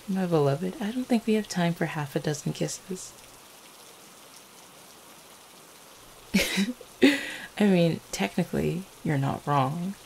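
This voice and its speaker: A woman playing an affectionate, playful vampire girlfriend in an intimate ASMR roleplay, teasing gently with small hesitant stammers. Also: low voice, creaky, slight background noise, low-quality recording. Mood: fond teasing. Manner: soft-hearted, teasing, hesitant little stammers and chuckles.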